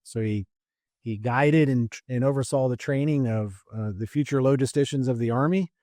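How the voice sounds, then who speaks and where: A man's voice: medium pitch, normal speed, warm tone, no room sound, clean studio sound. A man in his fifties hosting a podcast, introducing his guest in a friendly, filler-heavy way. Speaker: podcast host. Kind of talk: casual interview introduction.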